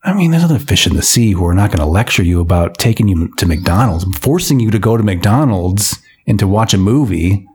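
A man's voice: fairly low pitch, fairly quick, few pauses, husky. A man hosting a casual group chat, joking and steering the talk. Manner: lively, joking, leads the talk. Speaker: podcast host.

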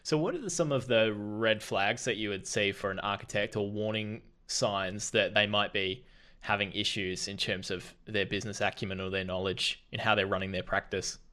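A man's voice: fairly low pitch, steady tone, normal speed, bright, forceful tone. A man hosting a business podcast, speaking as an interviewer. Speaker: podcast host, marketing consultant. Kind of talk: podcast introduction and conversational interview.

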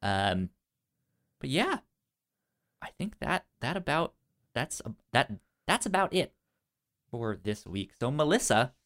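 A man co-hosting a casual movie podcast, speaking in a halting way with stammered, repeated syllables. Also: mid-range voice, big pitch swings, a bit bright. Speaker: podcast co-host.

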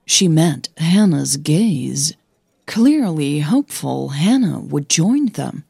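A woman's voice: deep voice, very animated, slow, gravelly, dark tone, husky, no echo, studio quality. A woman narrating scripted audio fiction as the podcast's storyteller.

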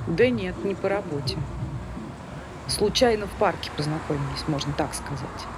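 Actress as a prim, scolding dog owner. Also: female voice, fairly low pitch, expressive, normal speed, natural voice. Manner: indignant, didactic, formal. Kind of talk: naturalistic stage dialogue. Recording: noisy ambient background with street sounds.

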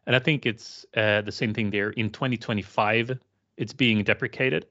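A man co-hosting a tech podcast in a low voice. He talks casually and hesitantly, often restarting his sentences. The audio is a little dull. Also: steady tone, normal speed, pushed and bright. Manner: hesitant, many fillers and restarts. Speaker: podcast co-host.

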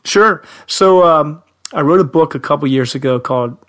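A man's voice: a little high, normal speed, fairly bright tone. A man, a friendly, self-deprecating podcast guest with a young-sounding voice who laughs often. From Central Ohio, USA. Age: 50s. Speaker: high school teacher, guest. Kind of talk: podcast interview.

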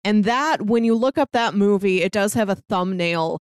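A woman's voice: medium pitch, animated, normal speed, clean tone, no room sound, studio quality. A woman co-hosting a film podcast in casual, conversational discussion.

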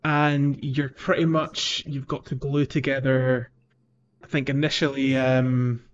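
A man's voice: mid-range voice, level tone, a little slow, muffled recording, a little hiss or hum. A man hosting a casual video game podcast, explaining things in a hesitant, rambling way. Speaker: podcast host.

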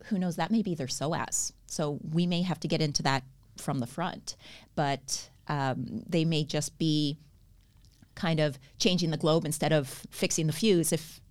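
A woman's voice: deep voice, measured pace, a little mellow. Canadian woman explaining stretch therapy. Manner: explanatory, earnest, laughs easily. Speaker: guest, stretch therapy educator. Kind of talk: podcast interview.

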